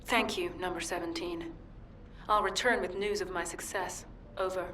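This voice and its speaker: An actress playing a determined, cool-headed infiltration agent in a video game, controlled and businesslike in delivery. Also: female voice, on the low side, steady tone, normal speed, bright, forceful tone, a little hiss or hum. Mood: cool, confident. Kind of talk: dramatic video game dialogue.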